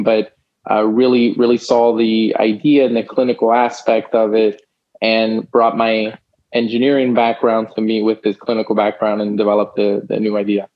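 A man from Miami, an engineer and co-founder being interviewed, speaking in a steady, measured way. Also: mid-range voice, lo-fi sound. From Miami, United States. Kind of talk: interview answers.

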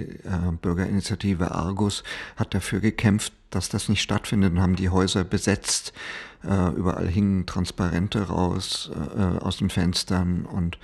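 A middle-aged man with a slight Franconian accent speaks as a podcast guest, pausing often and restarting his sentences. His voice sits very low, with a gravelly, husky grain. He recounts his life in a relaxed, conversational way. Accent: slight Franconian German. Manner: hesitant, many fillers and restarts. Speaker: interview guest. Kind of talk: conversational personal storytelling.

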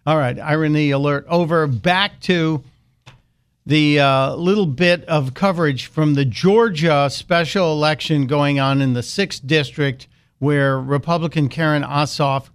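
A man on a studio mic running his talk radio show, his voice sitting a bit high for a man. He talks loosely and opinionated, sliding into sarcastic, mocking asides.

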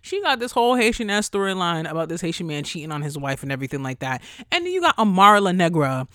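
A young woman hosting a solo podcast in a casual, chatty way, blunt and profane, her voice jumping high when she gets excited. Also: fast, no echo, clean studio sound. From New York area. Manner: profane, blunt asides to listeners. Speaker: podcast host.

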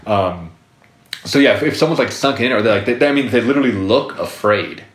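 A man's voice: fairly low pitch, expressive, fast talker, reverberant. A man talking casually as a guest psychotherapist, rambling with lots of fillers and restarts. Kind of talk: casual interview talk.

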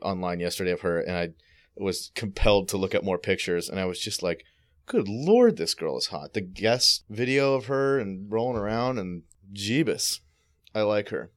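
Frank low-voiced man chatting. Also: lively intonation, moderate pace. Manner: frank and crude, rambling with restarts. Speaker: podcast co-host. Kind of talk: casual chat.

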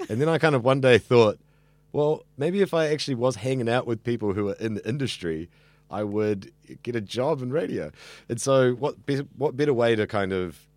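A man in his thirties with a deep voice and a New Zealand accent, chatting casually and talkatively in an interview. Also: normal speed, a little mellow, dry recording. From Auckland, New Zealand. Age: 30s. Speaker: radio student being interviewed.

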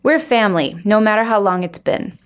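A woman's voice: medium pitch, animated, a little fast, clean tone, close to an everyday voice. A woman voicing a welcoming middle-aged aunt in a children's storybook, with a gushing manner. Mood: stiff, polite. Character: friendly but awkward Indian aunt, middle-aged woman.

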